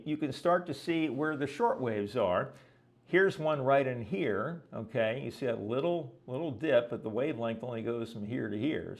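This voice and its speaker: Male educator, mid-range voice, conversational and didactic, explaining step by step with frequent check-ins. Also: medium pitch. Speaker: weather educator and presenter. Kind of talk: explanatory lecture with visual examples.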